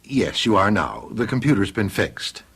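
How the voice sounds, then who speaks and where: An actor playing a fussy, apologetic official who collects the dead, chatty and genial in a radio mystery drama. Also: male voice, lowish voice, very animated, normal speed, vocal fry, a bit bright, husky, exaggerated voice.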